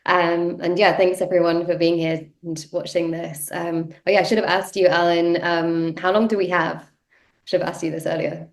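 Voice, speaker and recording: A woman's voice: lowish voice, flat delivery, normal speed. A woman, giving a casual personal talk while sniffly from a cold, with many ums and yeahs. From North Yorkshire, England. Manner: conversational, many fillers like um and yeah. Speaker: guest speaker, activist. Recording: roomy echo.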